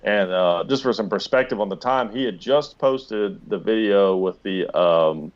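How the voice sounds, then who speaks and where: A man's voice: on the low side, a little slow, lo-fi sound. A man who does stand-up comedy, telling casual anecdotes as a podcast guest.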